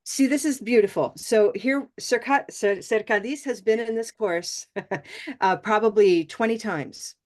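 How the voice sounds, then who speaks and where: A woman's voice: on the high side, a little slow, darkish tone. A woman teaching English pronunciation in an online class, encouraging and laughing often.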